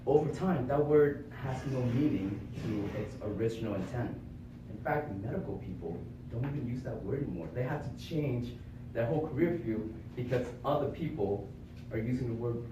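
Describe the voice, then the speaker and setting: A man's voice: mid-range voice, animated, normal speed, distant mic, noisy background. Man giving a talk in an echoey room. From born in Vietnam, raised in Florida. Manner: earnest, explanatory, with rhetorical questions. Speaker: club speaker. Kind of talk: prepared public speech. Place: meeting room with small audience.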